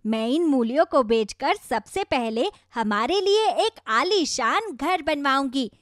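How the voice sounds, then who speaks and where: A woman plays a materialistic young village wife from a cartoon moral tale. Her voice is high and swings widely as she insists and brags. The sound is close and dry. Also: animated, a little slow, dry recording. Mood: gleeful, boastful. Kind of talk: children's moral story cartoon.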